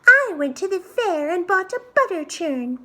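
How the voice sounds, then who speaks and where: A woman's voice: extremely high pitch, very animated, normal speed, bright, forceful tone, pitched above the performer's own voice, heavily acted. A woman voicing a small, cheeky, clever pig in a children's storybook, chirpy and boastful in a singsong way. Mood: gleeful, boastful. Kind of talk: children's storybook read-aloud.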